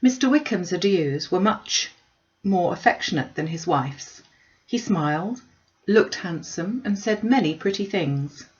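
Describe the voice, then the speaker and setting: A woman's voice: lowish voice, animated, a little slow, darkish tone, muffled recording. A woman reading a classic novel aloud as scripted audiobook narration. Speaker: audiobook reader.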